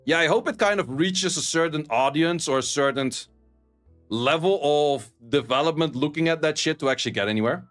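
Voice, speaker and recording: A man's voice: fairly high pitch, fairly quick, fairly bright tone, soft background music. A man with a Dutch accent chatting casually with his stream viewers, blunt and sweary, laughing often. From Netherlands. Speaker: streamer and esports caster. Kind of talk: casual live-stream chat reading viewer messages.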